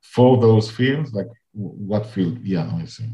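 Mellow guy interviewing, halting questions, echoey muffled room. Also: on the low side, lively intonation. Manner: halting questions with brief acknowledgements. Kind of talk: casual interview conversation.

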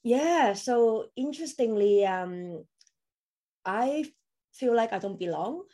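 A woman's voice: on the high side, expressive, slow pace, mellow. Chatty woman from Hong Kong. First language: Cantonese. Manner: chatty storytelling with non-native grammar and many fillers. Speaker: podcast guest, crypto coach. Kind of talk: casual interview chat.

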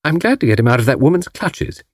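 A man voicing a bright, cheeky young British wife in a breezy, teasing manner for an audiobook. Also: medium pitch, very animated, fast talker, husky, no echo, studio quality, voice pushed up. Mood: cheerful.

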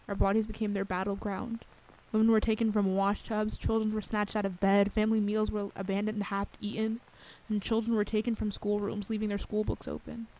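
A woman's voice: mid-range voice, dark tone, close to an everyday voice, rough recording. An actress playing a grave, intent female interviewer in a radio play, speaking in a restrained and serious way.

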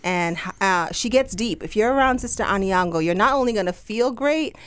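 A woman's voice: medium pitch, expressive, moderate pace, smooth, no room sound. A woman with a Jamaican accent, a summit organizer and entrepreneur, chatting with enthusiasm and frequent laughter on a radio podcast. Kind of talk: casual chat.

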